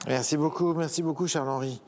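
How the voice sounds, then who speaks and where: A man's voice: fairly high pitch, quick pace. A man on a singing jury who jokes with contestants and leads the panel. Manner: lively, joking, leads the panel. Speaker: jury member. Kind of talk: casual jury chat.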